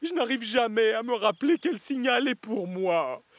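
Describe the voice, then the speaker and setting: A man's voice: extremely high pitch, lively intonation, normal speed, dry recording. A man voicing a timid, anxious, apologetic little tram engine in a cartoon, hesitant and flustered. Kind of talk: French cartoon dub acting.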